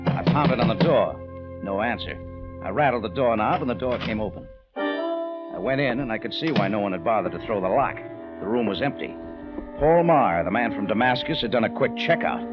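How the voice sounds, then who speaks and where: An actor plays a tough, wry private eye in vintage radio drama. His clipped narration swings expressively around a medium pitch. The recording is dull and lo-fi. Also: sing-song, moderate pace, close to an everyday voice. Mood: wry narrating. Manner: clipped, wisecracking delivery. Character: wry, tough, hard-boiled male detective narrator. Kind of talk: 1940s radio detective acting, scripted narration. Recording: dull, lo-fi old radio with music.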